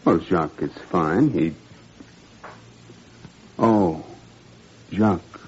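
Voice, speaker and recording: An actor in a 1940s radio drama playing a weary, principled French former professor, earnest and wryly defiant. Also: male voice, low-pitched, very slow, breathy edge, heavily acted. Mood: wary. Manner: earnest, wry, quietly defiant. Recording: dull, noisy 1940s radio recording.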